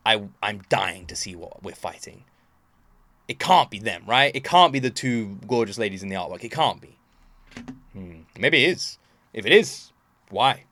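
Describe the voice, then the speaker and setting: Expressive man with lively, swooping pitch. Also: moderate pace. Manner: expressive, rambling, emphatic repetition. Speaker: reaction video host. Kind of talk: casual reaction commentary. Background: game soundtrack.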